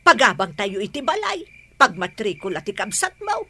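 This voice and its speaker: A woman's voice: mid-range voice, big pitch swings, moderate pace, sharp tone, breathy edge, heavily acted. An actress with an Ilocano accent playing a nagging, money-minded older mother in a radio drama, by turns pleading and scolding. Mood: pleading, pressing.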